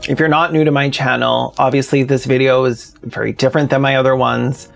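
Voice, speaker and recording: A man's voice: medium pitch, little pitch movement, brisk, gravelly, soft background music. A man narrating a video essay as the channel's host, speaking directly to the audience.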